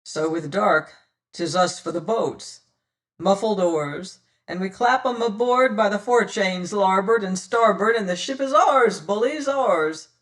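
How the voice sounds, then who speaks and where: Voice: mid-range voice, unhurried, a bit bright, recorded from a distance, natural voice. A reader voicing a bold, cunning male pirate captain who talks hearty old-fashioned sea talk. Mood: confident.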